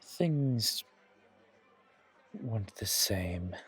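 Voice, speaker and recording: Suave male vampire, voiced by a man for roleplay audio: low, raspy, genteel and flirtatious, with bar chatter behind. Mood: playful, nostalgic. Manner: genteel, poetic, unhurried, flirtatious. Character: suave, courtly, old-world male vampire charming a stranger. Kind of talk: roleplay audio, ASMR horror.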